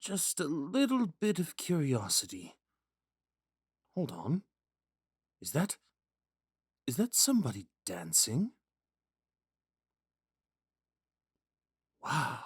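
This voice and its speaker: Casting: male actor as young romantic prince, gentle and courteous, slow musing delivery with lively pitch swings, intimate dry sound. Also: husky, dry recording, full character voice. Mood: curious, enchanted. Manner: musing, hesitant, courteous, with sighs and whispered asides. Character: young prince, third in line, wistful, gentle, smitten romantic. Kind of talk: romantic audio roleplay with inner monologue.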